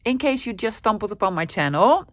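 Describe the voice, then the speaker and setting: A woman's voice: medium pitch, very animated, brisk, dry recording, studio quality. A woman from the Netherlands with a Dutch accent, chatting her way through a craft tutorial with plenty of ums and restarts. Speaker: craft channel host.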